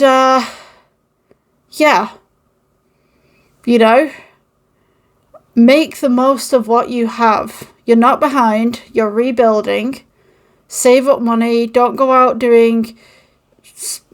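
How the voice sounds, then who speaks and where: A woman from the United Kingdom with a mixed, Manchester-tinged northern English accent, talking casually to camera with many ums, recorded on an old microphone with a phone. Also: a little high. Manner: rambling and encouraging, many ums. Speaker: channel host. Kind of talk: casual podcast-style talk to camera.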